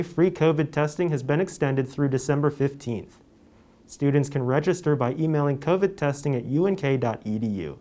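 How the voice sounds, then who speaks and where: A man's voice: mid-range voice, a little mellow. A young man reading scripted news as a student anchor and reporter. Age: young adult.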